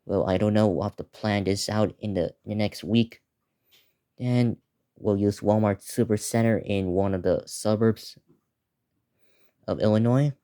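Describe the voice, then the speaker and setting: A teenage male video creator giving a casual, unscripted preview, talking in a halting, repetitive way as he lists things. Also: on the low side, fairly even intonation, dark tone, clean tone. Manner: halting, repetitive, listing place names.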